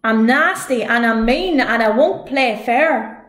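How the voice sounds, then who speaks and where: A woman voicing a huge, nasty male Scottish giant in a children's storybook, slow, loud and blustering. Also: fairly high pitch, animated. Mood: roaring challenge. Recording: roomy echo.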